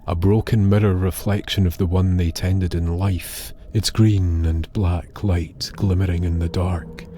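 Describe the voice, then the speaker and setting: A man narrating an eerie scripted tale in a solemn, measured, dramatic way with pauses. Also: low-pitched, level tone, warm tone, a little hiss or hum. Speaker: storyteller, narrator. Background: music.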